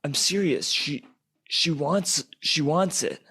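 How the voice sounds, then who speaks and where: A man playing a wheedling, trouble-prone teenage little brother in a radio play, halting and slangy. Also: fairly high pitch, sharp tone, slightly rough, exaggerated voice. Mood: pleading.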